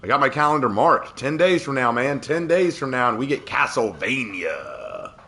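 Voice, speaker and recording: A man with a slightly hoarse voice, casually chatting and reacting as he streams a game. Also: mid-range voice, sing-song, moderate pace, pushed and bright. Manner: talkative, opinionated, laughs at the game. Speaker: livestreamer. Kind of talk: casual gameplay commentary.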